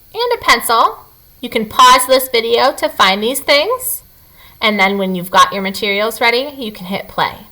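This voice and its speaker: A woman speaking as a teacher to young children. Her delivery is expressive, with lively swings in pitch and a bright tone. She addresses her listeners directly, as in a read-aloud or lesson. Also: poor audio.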